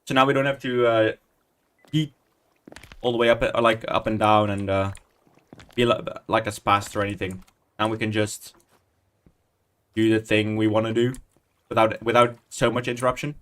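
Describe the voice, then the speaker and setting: A man's voice: on the low side, level tone, moderate pace, long pauses, a bit bright. A man, chatting casually and rambling while he plays a building game on stream, muttering under his breath as he types commands. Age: 20s. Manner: rambling, chatty, self-deprecating. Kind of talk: casual Minecraft stream commentary.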